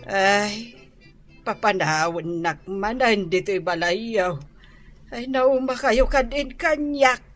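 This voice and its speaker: A woman's voice: mid-range voice, slow pace, soft background music, lightly acted. A woman with an Ilocano Filipino accent playing a forgetful, querulous mother in her seventies in a radio drama, hesitant and full of small interjections. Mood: weary.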